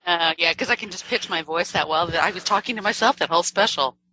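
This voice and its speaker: Woman on a game podcast, lowish bright voice, teases the guys a lot. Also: fast talker, no room sound, low-quality recording. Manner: teasing, laughs often. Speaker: podcast co-host. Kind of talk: casual podcast chat.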